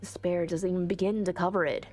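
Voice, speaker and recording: A woman voicing an earnest, anguished visual novel protagonist in a halting, dramatic first-person reading. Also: on the low side, steady tone, a little mellow, soft background music, lightly acted. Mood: despairing.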